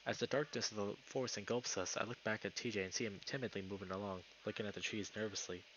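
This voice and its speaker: A man's voice: fairly low pitch, fairly even intonation, quick pace, fairly bright tone, lo-fi sound, slight background noise. A young man live streaming, moving between casual chat and reading story narration aloud.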